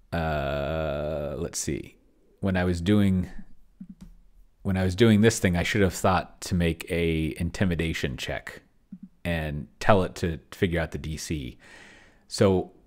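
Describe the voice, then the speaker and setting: Middle-aged male streamer, low voice, casual rambling commentary over a solo role-playing session. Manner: rambling, thinking aloud. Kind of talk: casual livestream commentary while typing. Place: small cluttered home room, indoors.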